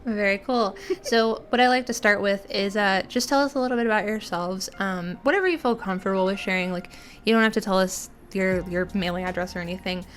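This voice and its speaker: Brief: young woman podcast host, mid-pitched, chatty, giggly, loose casual delivery. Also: brisk, few pauses, faint music behind. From United States. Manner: chatty and giggly, many fillers. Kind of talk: casual streamed interview.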